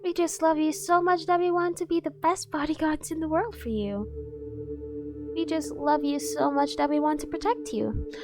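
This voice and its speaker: A woman's voice: high-pitched, normal speed, over music. A woman playing a dorky, obsessively devoted yandere bodyguard in an ASMR roleplay, cutesy, gushing and insistent. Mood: clingy, devoted.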